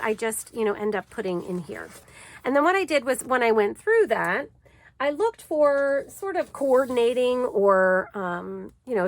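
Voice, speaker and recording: A woman's voice: on the high side, a bit bright. Stuffy-sounding woman hosting a crafting vlog. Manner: chatty and easygoing, with frequent fillers. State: stuffy from a cold. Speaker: craft channel host. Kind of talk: casual crafting tutorial chat.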